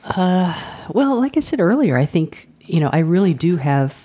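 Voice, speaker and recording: A woman's voice: lowish voice, very animated, moderate pace, dark tone. A woman in her forties, a guest in a casual conversation, speaking in a thoughtful, reflective way and laughing readily.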